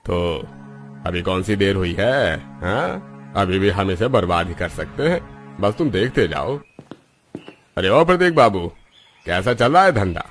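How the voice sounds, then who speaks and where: A man's voice: low voice, big pitch swings, measured pace, pauses often, faint music behind, heavily acted. A man voicing a scheming, greedy rich moneylender in a cartoon moral story. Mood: sly, smug.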